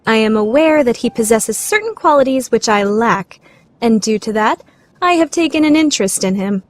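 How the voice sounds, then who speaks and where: A woman's voice: a little high, lively intonation, moderate pace, soft background music, lightly acted. A woman playing a proud, easily flustered rich teenage girl in an anime fandub radio play. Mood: haughty denial. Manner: haughty, formal, easily flustered.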